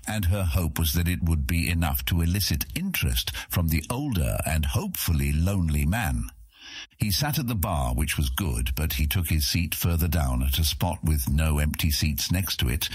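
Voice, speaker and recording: A man reads scripted fantasy narration in a very low, husky voice. His intonation is lively and varied. The recording is dry and close. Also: moderate pace, no room sound. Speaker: audiobook narrator.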